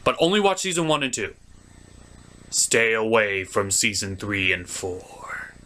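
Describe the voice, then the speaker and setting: A man's voice: lowish voice, sing-song, unhurried, sharp tone. A man hosting an enthusiastic scripted cartoon review, emphatic and blunt with casual asides. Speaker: host of a cartoon review show.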